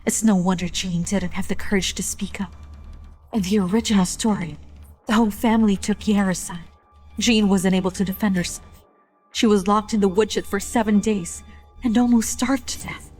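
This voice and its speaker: A woman's voice: medium pitch, steady tone, moderate pace, a little mellow, faint music behind, close to an everyday voice. An actress playing an imperious mother-in-law in a dubbed period drama, haughty, commanding and scolding. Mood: calm. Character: imperious noble mother-in-law, a scolding, sharp-tongued matriarch.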